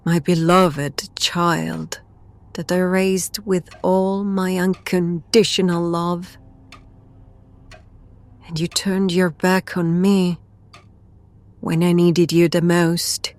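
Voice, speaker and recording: A woman's voice: fairly low pitch, little pitch movement, slow, pauses often. A woman playing a ghostly, deranged mother in a horror audio drama, crooning sweetly and menacingly with eerie whispers. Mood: bitterly accusing.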